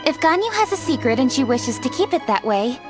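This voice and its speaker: Principled young noblewoman, game cutscene: high, formal, firm and matter-of-fact. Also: sing-song, a little fast, over music. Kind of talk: video game cutscene acting.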